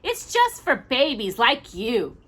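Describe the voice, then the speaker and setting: A woman's voice: a little high, huge pitch swings, takes it slowly, a bit bright, slightly rough, voice pushed up, heavily acted. A woman voicing a bossy, grumpy older brother in a storybook, whiny and scornful.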